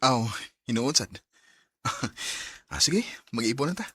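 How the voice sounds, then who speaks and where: An actor with a Cebuano Filipino accent playing a proud, wounded young boyfriend in a radio drama. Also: male voice, mid-range voice, lively intonation, unhurried, pushed and bright, breathy edge, full character voice. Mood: hesitant.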